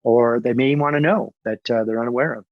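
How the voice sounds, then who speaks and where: A man's voice: mid-range voice, fairly quick, no room sound. A man hosting a podcast, introducing his guest and asking direct, upbeat questions. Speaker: podcast host. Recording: dry, dull lo-fi sound.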